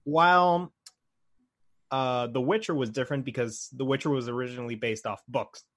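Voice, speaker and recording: A man with a medium-pitched voice talks as a podcast co-host. His delivery is conversational and unscripted, blunt and peppered with profanity. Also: moderate pace, fairly bright tone. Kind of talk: casual chat about film and game adaptations.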